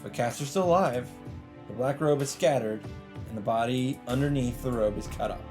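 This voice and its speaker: Male let's play narrator, medium pitch, dramatic story reading, clear game soundtrack behind the voice. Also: normal speed. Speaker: let's play host reading a visual novel aloud. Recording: loud game music behind voice. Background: visual novel soundtrack.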